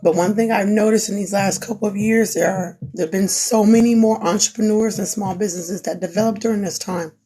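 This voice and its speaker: A woman's voice: mid-range voice, fairly even intonation, a little fast, hardly any pauses, mellow, breathy edge, poor audio. A young woman who is losing her voice gives an earnest, repetitive pep talk on a live stream. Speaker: small business owner, crafter. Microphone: phone.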